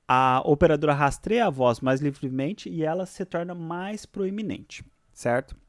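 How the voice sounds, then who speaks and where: A man with a Brazilian accent presenting a software tutorial, switching between read-out explanations and casual asides. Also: on the high side, normal speed, no echo, clean studio sound.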